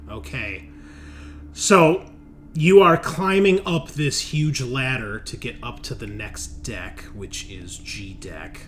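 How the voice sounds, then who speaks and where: A man's voice: lowish voice, fairly bright tone. A man acting as game master, narrating a tabletop roleplaying game in an informal, conversational way. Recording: noisy recording.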